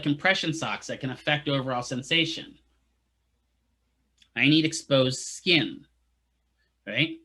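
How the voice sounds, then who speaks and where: Bright-voiced man giving a casual lecture. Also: a little high. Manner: casual, asks "right?" often, jokey asides to students. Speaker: physical therapy instructor. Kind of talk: classroom lecture.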